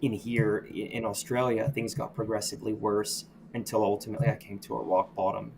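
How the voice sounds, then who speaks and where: Low-voiced man telling his story. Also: flat delivery, creaky, background noise. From United States. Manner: reflective, halting, with many restarts and "you know". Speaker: podcast guest. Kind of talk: candid personal storytelling.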